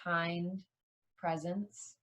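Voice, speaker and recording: A woman leading a guided yoga nidra meditation as a teacher, soothing and unhurried, leaving long pauses between short phrases. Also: lowish voice, little pitch movement, a bit bright.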